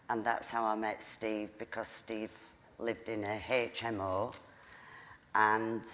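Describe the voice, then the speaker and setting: Slow, earnest woman. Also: a bass voice, in a large hall. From Leeds, England. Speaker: local community volunteer on a panel. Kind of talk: casual storytelling at a public event.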